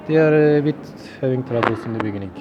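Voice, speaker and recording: A man in his thirties from Turkey, speaking English with a Turkish accent as an interview guest, halting and searching for words. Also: medium pitch, lo-fi sound. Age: 30s. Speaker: linguist guest. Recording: outdoor crowd chatter behind.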